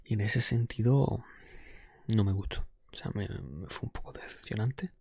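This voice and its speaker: ASMR host, male, Spain Spanish accent. Whispered, very husky and breathy with some fry, dry close-mic sound, informal opinionated chat. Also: lowish voice, animated, normal speed, dry recording. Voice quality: breathy, mostly whispered, soft-spoken. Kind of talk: ASMR, whispered casual video game review. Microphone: close microphone.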